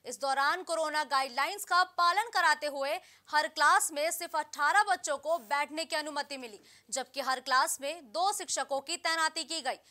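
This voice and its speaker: A woman's voice: high voice, normal speed, few pauses, sharp tone. A young woman reading a scripted news bulletin as an anchor, formal and emphatic. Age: young adult.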